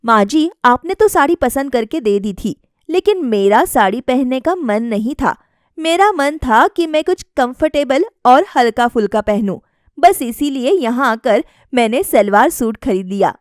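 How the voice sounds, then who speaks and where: A self-assured young wife voiced by a woman narrator with a high voice and a dry recording. She is polite yet defiant, reasoning firmly as in a family storybook drama. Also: expressive, unhurried, no room sound. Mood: pleased. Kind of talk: acted dialogue in a family story.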